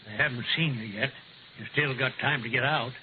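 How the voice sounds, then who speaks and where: An actor playing a shrewd old frontier rancher in a radio western, folksy, dry and unhurried. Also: male voice, medium pitch, narrow, tinny audio, close to an everyday voice. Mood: resigned. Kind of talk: 1950s radio western acting. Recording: noisy, thin vintage radio recording.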